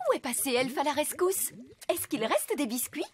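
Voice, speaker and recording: An actress voicing a bossy, blunt fairy nanny in a cartoon, with a haughty manner. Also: female voice, high-pitched, lively intonation, moderate pace. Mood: grumpy. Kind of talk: animated cartoon dialogue. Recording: constant cartoon music behind. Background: music.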